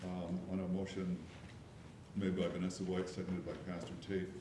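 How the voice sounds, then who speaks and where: A man chairing a board meeting, measured and hesitant, with many fillers, recorded from afar in an echoey room with noise. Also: sits low, monotone. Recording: echoey room, mic from far off, background noise.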